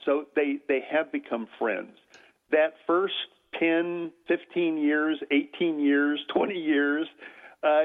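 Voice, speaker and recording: Older man, radio show guest, loud voice, halting with fillers, tinny phone-like sound. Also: on the high side. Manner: halting, many fillers and restarts. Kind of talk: radio talk show interview.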